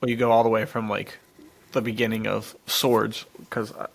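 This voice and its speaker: A man's voice: on the low side, flat delivery, normal speed, creaky. A man co-hosting a podcast, explaining things off the cuff in a laid-back, slangy way. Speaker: podcast co-host. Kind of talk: casual chat, off-the-cuff explaining.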